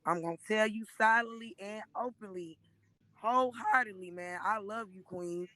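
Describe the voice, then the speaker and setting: A hoarse woman in her thirties gives an emotional, preachy testimony on a live stream, speaking into a phone and often calling listeners by name. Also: mid-range voice, normal speed, bright, forceful tone, dry recording. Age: 30s. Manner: earnest and preachy, repeatedly addressing listeners by name.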